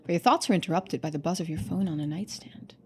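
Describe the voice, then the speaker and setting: A woman streamer giving chatty game commentary and expressive narration. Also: lowish voice, fast, husky. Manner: casual, chatty. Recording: music behind the voice. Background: music.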